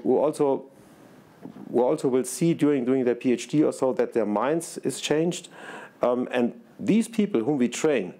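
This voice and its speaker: An older man in his sixties with a German accent speaks earnestly on a conference panel, often repeating words as he hunts for the right phrase. His tone is mellow and dark. He speaks into a lapel mic. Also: medium pitch. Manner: earnest, repetitive, searching for words. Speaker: research institute president, panelist. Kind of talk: panel discussion remarks. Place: large conference stage before an audience.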